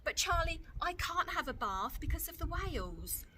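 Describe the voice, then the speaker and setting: Here is a woman playing a tiny, imaginative little sister in a storybook. The voice is high and very lively, insistent and chattering as it makes excuses. Some background noise sits behind it. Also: moderate pace, exaggerated voice. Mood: playfully insistent. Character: very small funny little sister, stubborn and imaginative.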